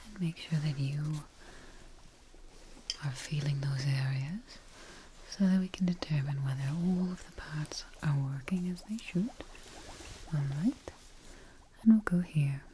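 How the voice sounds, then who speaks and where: A young woman doing a soft-spoken, whispered ASMR roleplay, gentle and hushed, close to the microphone. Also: sits low, slight background noise. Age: young adult. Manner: hushed, gentle, slow personal-attention delivery. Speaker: ASMR performer in a creature-testing roleplay.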